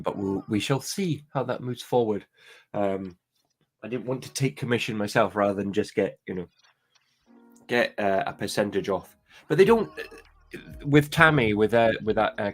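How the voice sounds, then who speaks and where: Low-voiced man with a light Scottish accent. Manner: rambling, hesitant, many restarts. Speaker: toy and claw machine vlogger. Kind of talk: casual chat to viewers.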